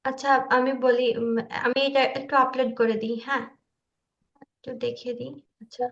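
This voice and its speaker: A woman's voice: little pitch movement, moderate pace, gravelly, fairly bright tone. A woman giving an informal online tutorial in a hesitant, self-deprecating way, sounding nervous. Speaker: student presenter demonstrating video upload. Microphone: online video call. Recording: muffled low-fidelity online meeting audio.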